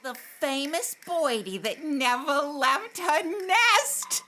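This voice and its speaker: A woman voicing a nosy, mocking neighbor bird with exaggerated vowels in an animated short. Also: high-pitched, takes it slowly, slight background noise, heavily acted. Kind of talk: animated short film voice acting.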